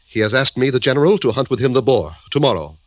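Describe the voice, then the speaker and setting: A man's voice: medium pitch, lively intonation, fast, no room sound, close to an everyday voice. A man playing a meek, lovelorn young Russian suitor in an old-time radio drama, earnest and plaintive with stilted formal phrasing.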